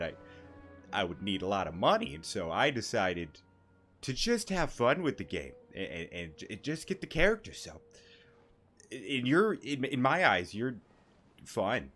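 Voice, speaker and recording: A man giving casual let's-play commentary, rambling and halting, with a joking manner. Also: fairly low pitch, a bit bright. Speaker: gaming YouTuber. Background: game music.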